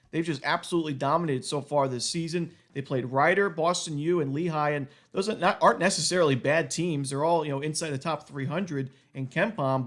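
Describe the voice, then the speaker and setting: A man with a cold, his voice going a little, giving a quick solo rundown of sports betting picks packed with stats. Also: on the high side.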